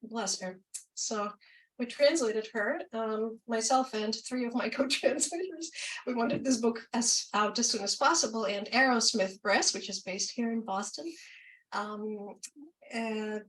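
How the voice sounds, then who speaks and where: A woman from Ukraine reading her poems slowly and deliberately, with quicker, chattier asides between them. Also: fairly high pitch, a bit bright, far from the mic, rough recording. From Ukraine, former Soviet Union. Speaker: poet and translator. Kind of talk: poetry reading with spoken asides.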